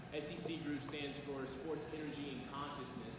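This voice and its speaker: A man giving a motivational talk as a coach at a conference, addressing his audience directly with frequent hesitant pauses, in a large room. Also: on the high side, steady tone. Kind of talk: motivational public presentation. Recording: very echoey noisy hall, long reverb.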